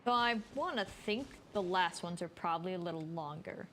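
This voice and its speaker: A woman's voice: on the low side, lively intonation, moderate pace, fairly bright tone, a little hiss or hum. A woman game streamer giving casual, chatty commentary and thinking aloud as she plays.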